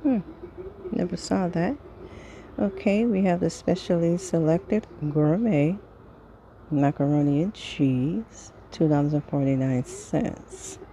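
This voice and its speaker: A woman casually reading through a sales flyer item by item, pausing between phrases in an unhurried way. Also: on the low side, warm tone, a little hiss or hum, poor audio. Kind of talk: casual product read-through.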